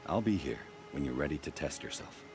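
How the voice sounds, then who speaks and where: An actor playing a seasoned hunting trial keeper in a video game, instructive and approving, encouraging but exacting. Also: male voice, a bass voice, animated, fairly quick. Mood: calm. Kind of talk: video game character dialogue. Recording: music behind the voice. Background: game music.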